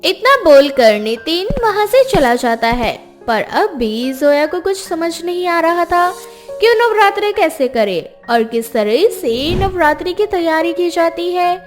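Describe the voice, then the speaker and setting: A woman narrates a scripted moral story in a steady, storytelling manner. Her voice is high and lively in pitch. Faint music plays in the background. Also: a little slow. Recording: music bed behind voice.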